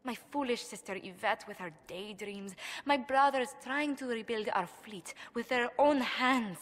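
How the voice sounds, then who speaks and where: A woman's voice: fairly high pitch, unhurried, a bit bright, in a large hall. An actress playing a proud, worried young noblewoman diplomat in a video game, speaking formally, precisely and earnestly. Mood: frustrated, worried. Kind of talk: video game cutscene acting.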